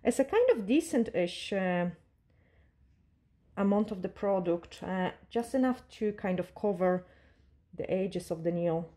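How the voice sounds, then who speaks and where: Woman nail tech, mellow tone, calm step-by-step tutorial delivery with fillers. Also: mid-range voice, unhurried. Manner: calm, instructive, with frequent fillers and non-native grammar. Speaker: nail technician teaching a tutorial. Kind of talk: step-by-step tutorial narration while working. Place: nail salon desk.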